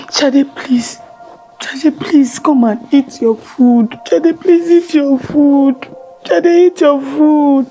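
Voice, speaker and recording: A woman's voice: high-pitched, dark tone, muffled recording, heavily acted. A woman playing a tearful pleading Nigerian mother. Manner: pleading, repeating herself. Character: distraught mother begging her silent daughter to eat. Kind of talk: comedy skit acting.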